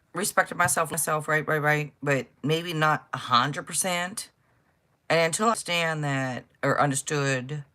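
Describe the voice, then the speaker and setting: Blunt, chatty older woman with a low voice. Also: bright, forceful tone. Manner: chatty, blunt, swears freely, self-interrupting. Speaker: tarot reader. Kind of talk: casual livestream card reading.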